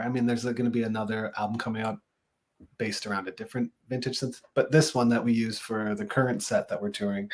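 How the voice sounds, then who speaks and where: A man, a musician guest in an interview, talking in a dry, wry, plain-spoken way. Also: lowish voice. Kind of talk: casual interview chat.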